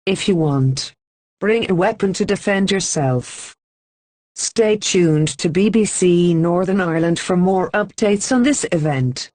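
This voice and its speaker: A woman reading a scripted emergency broadcast announcement in an even, formal manner with slow, deliberate pauses. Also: fairly low pitch, dry recording.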